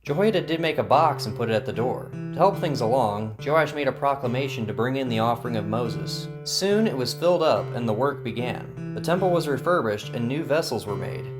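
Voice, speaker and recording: A man's voice: medium pitch, animated, fairly quick, few pauses. A man narrating a scripted Bible story in a storytelling style. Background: plucked guitar music.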